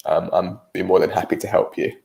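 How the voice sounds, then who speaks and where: A man's voice: low-pitched, fairly even intonation, brisk, gravelly, darkish tone. A British man with a cold and a nasal voice, giving a live-streamed career webinar presentation with frequent ums.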